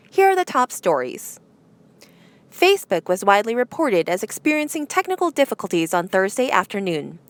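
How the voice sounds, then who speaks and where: A woman's voice: fairly high pitch, no echo. A woman presenting news headlines, reading from a script.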